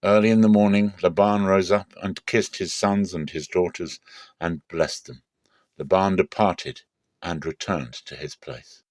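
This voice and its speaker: A man with a fairly low voice narrates scripture in a measured, solemn way. It is scripted, dramatic storytelling, recorded dry with little room sound. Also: steady tone, no room sound.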